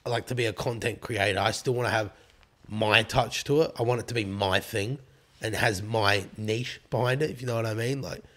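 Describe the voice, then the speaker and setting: A man with an Australian accent and a raspy voice, chatting casually as a guest on a podcast, full of fillers and swearing. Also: lowish voice, steady tone, normal speed, a bit bright. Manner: laid-back, swears freely, filler-heavy. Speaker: rapper guest.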